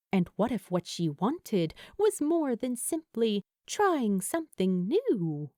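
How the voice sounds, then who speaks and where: A woman reading scripted fiction as a storyteller, in an expressive way. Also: on the high side, dry recording, studio quality. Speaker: audiobook-style fan fiction narrator.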